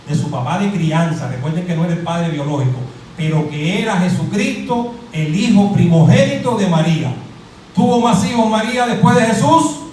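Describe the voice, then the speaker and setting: A middle-aged Cuban man preaching a lively sermon, quizzing his congregation and joking as he goes. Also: sits high, a little hiss or hum. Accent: Cuban Spanish. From Cuba. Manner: animated, asks the crowd questions and jokes. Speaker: pastor. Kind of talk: interactive sermon, calling on the congregation. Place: church hall. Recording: very echoey hall, mic at a distance.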